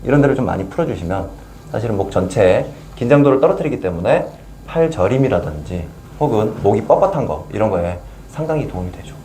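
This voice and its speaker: A man, a pain clinic doctor, explaining neck muscles and exercises to a patient in a relaxed, plain-spoken way. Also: on the low side, fast talker, a little hiss or hum. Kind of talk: explanatory health talk with patient.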